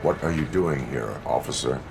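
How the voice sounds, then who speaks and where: A stoic male cyborg cop from a video game. The voice is extremely deep with a gravelly fry, delivering formal, measured robotic sentences over faint background noise. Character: stoic male cyborg police officer, part man, part machine.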